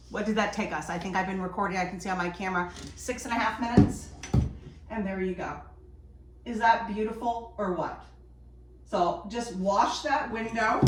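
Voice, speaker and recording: A woman chatting enthusiastically to viewers while doing a quick craft project, a bit sniffly from a cold. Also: mid-range voice. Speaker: DIY vlogger. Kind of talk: casual how-to chat while crafting. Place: home decorating space, indoors. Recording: roomy echo, distant from mic, faint noise.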